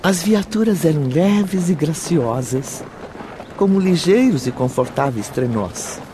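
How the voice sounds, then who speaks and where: An actor as the solemn narrator of a spiritual radio drama, speaking formal Portuguese dramatically with a Brazilian accent. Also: male voice, fairly high pitch, animated, unhurried, noisy background. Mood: solemn, admiring. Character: solemn male storyteller of a spiritual tale.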